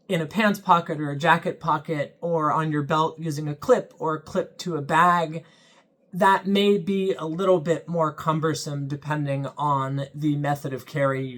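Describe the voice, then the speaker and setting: A reviewer speaking at a mid-range pitch, walking through a device's pros and cons in a steady, explanatory tone. The delivery is unscripted and measured, with short thinking pauses between points. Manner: measured and explanatory, pausing with ums. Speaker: product reviewer.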